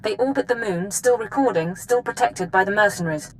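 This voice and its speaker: Woman acting female spymaster, crisp, authoritative, medium pitch, faint background noise, video game briefing. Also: brisk, lightly acted, poor audio. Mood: grave briefing. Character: brisk female Imperial intelligence chief giving mission briefings.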